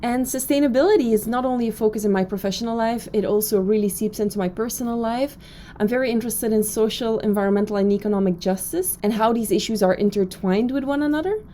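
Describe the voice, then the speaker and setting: A woman's voice: a little high, fast, warm tone, slight background noise. A woman in her thirties from Belgium, with a Belgian accent, giving a chatty, upbeat recorded introduction to her online course from her office, with frequent fillers. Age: 30s. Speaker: professor.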